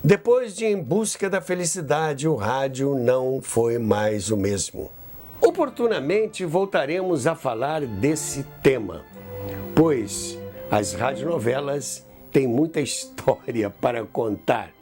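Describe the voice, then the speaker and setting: A man with a Brazilian accent hosting a history program, narrating a scripted account in a measured, emphatic storyteller's way. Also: mid-range voice, sing-song, warm tone, soft background music. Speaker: host of a radio history show.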